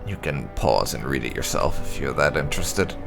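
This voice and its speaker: A man reading game lore aloud as scripted narration, in a measured, dramatic storytelling way. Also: rumbling low, lively intonation, vocal fry. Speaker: gaming video host. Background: background music.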